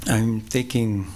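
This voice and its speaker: Slow, halting man with an Italian accent. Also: lowish voice, mellow, slight background noise. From Ticino, southern Switzerland. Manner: slow and halting, with pauses, fillers and throat clearing. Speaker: guest speaker at a temple. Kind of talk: spiritual lecture. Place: temple hall.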